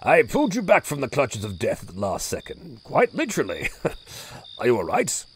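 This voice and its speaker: A man's voice: medium pitch, wildly swooping pitch, normal speed, slight background noise. A man playing a hearty male cleric and healer who chuckles and speaks with jaunty exclamations. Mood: jovial. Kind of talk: audio drama acting.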